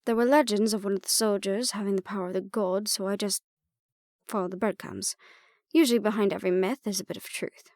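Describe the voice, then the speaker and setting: A close, dry recording of a lady adventurer played by a woman, with a mid-pitched voice. She is a sardonic archaeologist in an audio roleplay, self-deprecating and inclined to ramble awkwardly. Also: fairly even intonation, brisk, darkish tone, dry recording, lightly acted. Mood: composed, wry.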